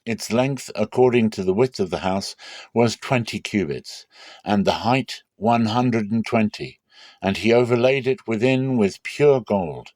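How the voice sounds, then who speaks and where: A man giving a dramatic, solemn scripture reading with measured, deliberate phrasing. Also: mid-range voice, a little mellow, no echo. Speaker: Bible reader.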